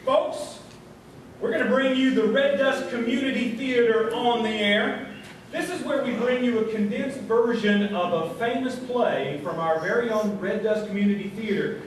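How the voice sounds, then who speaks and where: A man's voice: very high voice, moderate pace. A man playing a folksy small-town host of a live radio variety comedy show, with showman's patter. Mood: folksy announcing. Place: live show before audience in hall. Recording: echoey hall, distant, noisy live stage.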